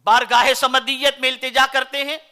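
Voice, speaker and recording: A man from India, preaching a sermon in an oratorical style that swings between loud declaiming and soft recitation. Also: very high-pitched, little pitch movement, moderate pace. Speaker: Islamic preacher.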